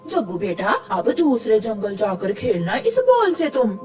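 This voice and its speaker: A woman voicing a scolding, deceitful mother crow in a cartoon story, dramatic and sharp-tongued. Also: a little high, huge pitch swings, measured pace, recorded from a distance, soft background music, pitched below the performer's own voice. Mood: scheming. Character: scolding, deceitful crow mother who coddles her son.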